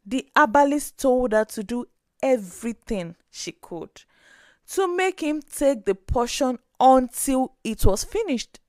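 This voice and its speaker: A woman narrating a scripted story in an expressive, dramatic way. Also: sits high, slow, no echo, clean studio sound.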